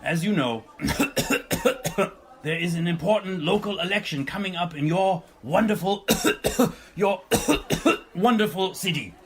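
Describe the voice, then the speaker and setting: An actor playing a pompous president giving a rally speech in an audio drama, stammering, repeating himself and coughing often. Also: male voice, high-pitched, takes it slowly, a faint crowd. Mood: smug, ingratiating.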